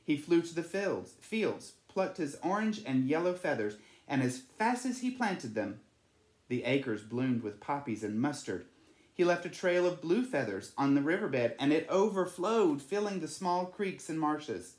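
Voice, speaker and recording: A man's voice: a little high, sing-song, a little slow, darkish tone. A man reading a children's picture book aloud as a storyteller. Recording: echoey room.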